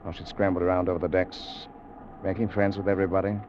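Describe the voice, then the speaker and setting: A man portrays a haunted ship's captain in an old radio play, low in voice and halting, heavy with grief. He is stubborn and commanding. The recording is muffled and hissy vintage radio. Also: flat delivery, darkish tone, lightly acted. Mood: brooding. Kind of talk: 1940s radio drama acting.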